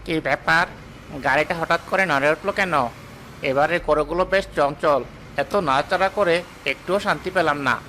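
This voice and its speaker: A man's voice: on the high side, a little fast, background noise, lightly acted. A man playing a puzzled, grumbling ox-cart driver in a children's cartoon.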